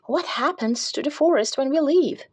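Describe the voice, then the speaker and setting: A woman voicing a curious, stubborn young female swallow from a storybook, earnest and expressive. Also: sits high, moderate pace, voice pushed up. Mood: curious, determined.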